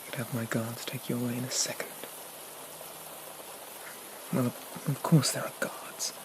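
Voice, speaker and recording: Man acting a slow, soft-spoken possessive demon prince. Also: mid-range voice, little pitch movement, natural voice. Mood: haughty, irritated. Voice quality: soft, breathy, often whispered. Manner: slow, coaxing, regal, condescending, with lingering pauses. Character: possessive, haughty young male demon prince of dreams, sweetly menacing. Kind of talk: ASMR roleplay, soft half-whispered dramatic monologue. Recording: noticeable rain-like background noise.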